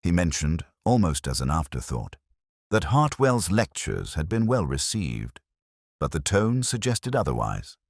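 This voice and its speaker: A man narrating a detective story in the first person, in a measured, literary and brooding manner. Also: sits low, breathy edge, no room sound, clean studio sound.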